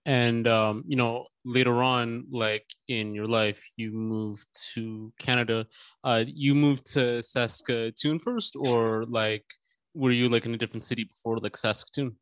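A man's voice: mid-range voice, steady tone, a little slow, clean tone, no room sound. A man hosting a podcast, asking long, meandering questions padded with fillers. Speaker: podcast host. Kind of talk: casual podcast interview.

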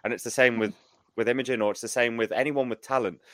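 A man's voice: medium pitch, steady tone, fast talker, a bit bright, no room sound. A man hosting a podcast, chatting informally and jokingly as he interviews a guest in a rambling way. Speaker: podcast host. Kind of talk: casual chat, interviewing.

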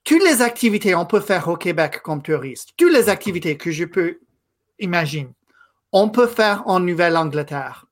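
A man in his thirties, a native English speaker from Quebec, giving a guest interview in a thoughtful, halting way. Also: high voice, very animated. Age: 30s. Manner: thoughtful, halting, with frequent pauses and restarts. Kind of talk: casual interview conversation.

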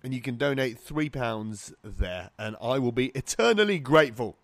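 A man's voice: mid-range voice, lively intonation, normal speed, no room sound, studio quality, soft background music. A man hosting a podcast, upbeat and jokey as he introduces the show and interviews a guest. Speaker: podcast host.

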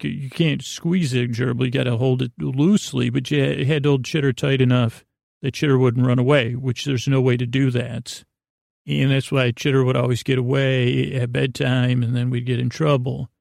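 A man with a gravelly, creaky voice hosts a bedtime podcast, telling rambling stories in a halting, meandering way and often interrupting himself. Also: medium pitch, fairly even intonation, darkish tone, no room sound, clean studio sound. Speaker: podcast host. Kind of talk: rambling bedtime storytelling monologue.

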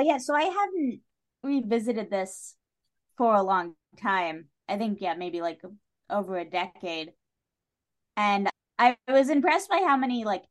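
Thoughtful woman in casual literary discussion. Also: medium pitch. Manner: thoughtful and analytical, speaks in long tangents. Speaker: guest on a literary discussion podcast.

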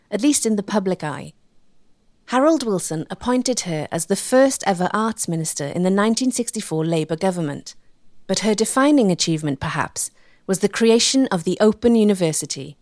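A woman narrates a documentary from a script. Her pitch moves around expressively, and the sound is dry and close. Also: darkish tone, no room sound.

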